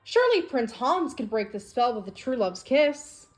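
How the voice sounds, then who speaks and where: A woman with a fairly high voice reads a children's story aloud. Faint music plays behind her narration. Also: sing-song, normal speed. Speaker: storybook reader.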